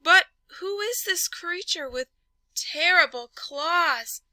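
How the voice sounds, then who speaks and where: A woman's voice: very high voice, expressive, slow, pushed and bright, dry recording, voice pushed up, full character voice. A woman voicing a bold, cheeky little storybook mouse, polite and boastful. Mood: alarmed.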